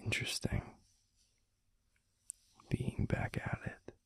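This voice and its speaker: A man voicing a gentle, protective alpha werewolf boyfriend in an intimate ASMR roleplay, murmuring slowly and haltingly in a breathy, gravelly near-whisper. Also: lightly acted. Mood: calm, reflective. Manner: slow, halting, soothing murmur with pauses.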